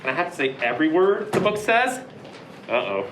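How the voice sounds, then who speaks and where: A woman's voice: sits low, very animated, a little fast, roomy sound, noisy background. A woman reading a children's picture book aloud, playing up mock outrage and dismay. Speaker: storybook reader.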